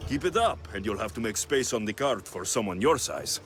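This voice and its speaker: An actor playing a bold ancient Greek mercenary hero in a video game, speaking in a direct, probing and firm way. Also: male voice, lowish voice, expressive, a little fast, a bit bright. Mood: firm, challenging. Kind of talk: dramatic video game acting. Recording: game cutscene with music behind.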